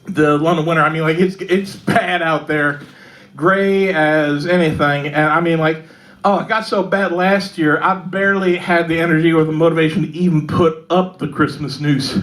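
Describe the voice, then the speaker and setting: A woman with a Texan accent performing a stand-up set in a pub comedy club, delivering dark jokes deadpan, with lots of fillers and little laughs at her own lines. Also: deep voice, moderate pace. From Texas. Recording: live club mic, loud audience laughter.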